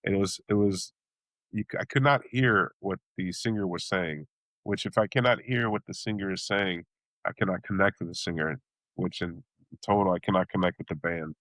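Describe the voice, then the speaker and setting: A man from Chicago, a blues singer and bandleader, talking casually in an interview, rambling with many restarts and acting out reactions in sudden high exclamations. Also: moderate pace, fairly bright tone, no echo, low-quality recording.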